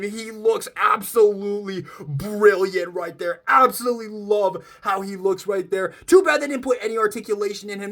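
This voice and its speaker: A man giving an excited unboxing review, gushing and emphatic as he talks. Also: high-pitched. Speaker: toy reviewer.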